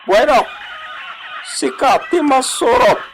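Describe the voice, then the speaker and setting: A man's voice: extremely high pitch, takes it slowly, a little hiss or hum, exaggerated voice. An actor playing a sly, fawning male bandit in a radio drama, with a drawling, chuckling delivery. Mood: sly, coaxing. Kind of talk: radio drama acting in Philippine regional drama.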